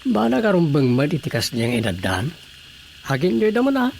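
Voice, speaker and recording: An actor playing a concerned, grumbling village councilor in a radio drama comedy, with a Filipino accent. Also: male voice, a little high, huge pitch swings, fairly quick, vocal fry, a little mellow, exaggerated voice. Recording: faint background noise.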